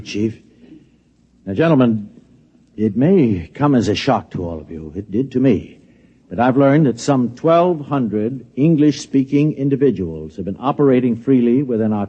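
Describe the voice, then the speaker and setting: An American actor playing a flustered, middle-aged lab security chief in a 1950s radio drama, stammering, insistent and coaxing. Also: male voice, mid-range voice, warm tone, breathy edge. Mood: formal, awkward. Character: flustered, pleading security chief of an atomic laboratory. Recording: muffled old radio recording.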